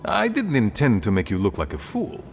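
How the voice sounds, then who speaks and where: An actor playing an aging dwarf, a weary, pragmatic conspirator speaking gravely and earnestly in a fantasy game. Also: male voice, lowish voice, huge pitch swings, moderate pace, soft background music, exaggerated voice. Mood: apologetic, resolute. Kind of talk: dramatic game dialogue.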